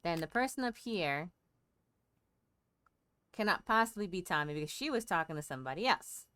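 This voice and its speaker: Female game streamer, lowish clear voice, dry close sound, chatty running commentary. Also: lively intonation, moderate pace, bright, forceful tone, no room sound. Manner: chatty, thinking aloud.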